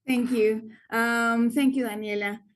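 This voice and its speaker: A woman interviewer with a fairly high voice thanks her guest and asks her next questions. She speaks politely and at a measured pace, filling her pauses with "um". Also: steady tone.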